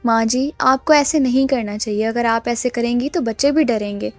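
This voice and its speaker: Actress playing young daughter-in-law, very high voice, caring, earnest, cartoon, faint music. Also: female voice, moderate pace, lightly acted. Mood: reassuring. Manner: earnest, reassuring.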